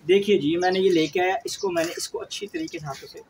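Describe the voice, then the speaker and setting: A man with a Pakistani accent presents an informal tutorial in a high-pitched voice. He explains emphatically, often repeating his phrases. The mic sits at a distance, and there is clear background noise. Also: huge pitch swings, fast. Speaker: angler presenting a fishing tutorial. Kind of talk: informal how-to vlog narration. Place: outdoors in a park, later another spot after leaving it. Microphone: phone camera, some distance away.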